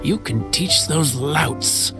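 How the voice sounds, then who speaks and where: An actor playing a genial, weary old village carpenter in a video game, folksy and hesitant. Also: male voice, fairly high pitch, animated, slow, creaky, heavily acted. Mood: weary, aggrieved. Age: older. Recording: game music underneath.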